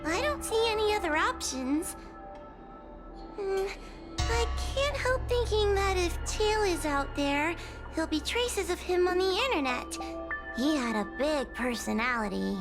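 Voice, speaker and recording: A woman's voice: very high-pitched, expressive, unhurried, over music, heavily acted. A woman voicing a timid, anxious young fox girl in a video game, hesitant and nervous. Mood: wistful, anxious.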